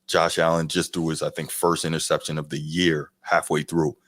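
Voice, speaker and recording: Very deep-voiced podcast co-host. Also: male voice, flat delivery, fairly bright tone, no echo. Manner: measured, frank, agreeable with many mm-hmms. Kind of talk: casual sports chat.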